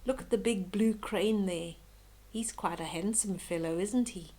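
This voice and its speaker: Slow-paced older woman reading a bedtime story. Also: medium pitch. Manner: gentle, slow, expressive, cooing asides. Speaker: storyteller reading a children's book aloud. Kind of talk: bedtime story read-aloud with chatty asides to young listeners.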